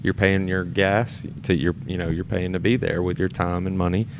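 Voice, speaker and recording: A man's voice: sits low, level tone, normal speed. A man speaking as a podcast guest in an informal, earnest way, recorded in a shared studio space in a theater. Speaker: podcast guest, theater performer and board member. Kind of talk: casual podcast conversation. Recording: clear background noise.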